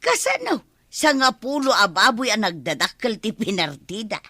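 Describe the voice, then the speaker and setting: A woman's voice: a little high, huge pitch swings, normal speed, bright, forceful tone, no room sound, studio quality, heavily acted. An actress playing a boisterous, food-grabbing village woman in an Ilocano radio comedy drama, with an Ilocano accent. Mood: gossipy. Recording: music under the dialogue.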